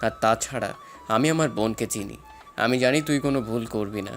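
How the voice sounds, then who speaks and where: A man's voice: medium pitch, moderate pace, faint music behind, lightly acted. A man playing a protective young elder brother in an audio story. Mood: earnest, resigned.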